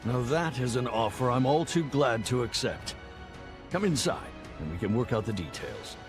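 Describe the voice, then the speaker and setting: An actor playing a gracious, plain-spoken fort general in a video game, formal and declamatory in delivery. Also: male voice, mid-range voice, expressive, normal speed, lightly acted. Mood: warm, somber. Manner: formal, old-fashioned, declamatory. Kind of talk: dramatic video game cutscene acting. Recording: loud music under the voice.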